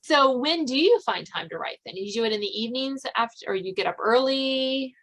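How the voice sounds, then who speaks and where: A woman's voice: fairly high pitch, animated, brisk, fairly bright tone. Chatty woman podcast host, informal and conversational. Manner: chatty, informal, thinking aloud. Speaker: podcast host interviewing a novelist. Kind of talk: casual chat.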